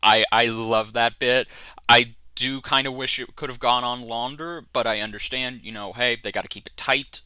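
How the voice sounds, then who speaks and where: A man's voice: mid-range voice, steady tone, moderate pace, sharp tone, clear, resonant tone, no echo. A man co-hosting a casual review chat, talking in an enthusiastic, informal way. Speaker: co-host of a fan review show.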